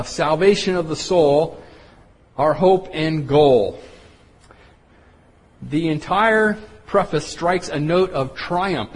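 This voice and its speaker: A man's voice: on the high side, slightly rough. A man teaching a Bible study sermon in an earnest, explanatory way, building lists of points. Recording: long reverb of a hall.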